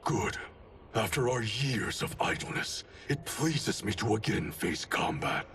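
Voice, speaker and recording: A man's voice: on the low side, lively intonation, measured pace, far from the mic, background noise. A man playing a proud, stern ninja clan leader with a commanding, disdainful manner in a video game cutscene. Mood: grim satisfaction.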